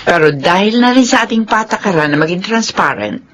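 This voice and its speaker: A woman's voice: mid-range voice, lively intonation, fairly quick, fairly bright tone. A Filipino woman acting a polite, reassuring office manager in a radio drama. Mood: businesslike. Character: smooth, secretly compliant female office manager.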